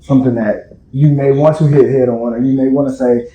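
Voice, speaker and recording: A man's voice: medium pitch, fairly even intonation, fairly quick, warm tone. A man hosting a casual interview chat, talking in a conversational way. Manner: conversational, with frequent affirming backchannels. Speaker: host. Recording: dull, roomy sound.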